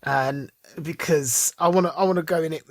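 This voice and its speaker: A man with a high, slightly husky voice co-hosts a podcast, talking in an excitable, rambling way and laughing a lot. The sound is close and dry, with no room around it. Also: moderate pace, dry recording. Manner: excitable, laughs often, repeats words. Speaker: podcast co-host. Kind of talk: casual chat.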